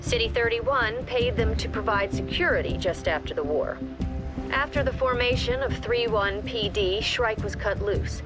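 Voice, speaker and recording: An actress playing a stern commander briefing a tactical police squad in a clipped, authoritative way. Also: female voice, on the high side, normal speed, close to an everyday voice. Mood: stern, businesslike. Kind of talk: scripted game briefing narration. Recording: music under the voice.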